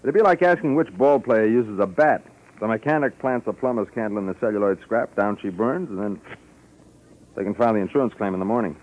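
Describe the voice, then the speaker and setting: An actor playing a seasoned insurance fire expert in an old radio drama, talking shop casually in dry, clipped phrases. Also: male voice, lowish voice, brisk, close to an everyday voice. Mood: matter-of-fact. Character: matter-of-fact, seasoned male insurance arson expert. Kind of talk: 1950s radio drama acting. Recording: slightly muffled old radio recording.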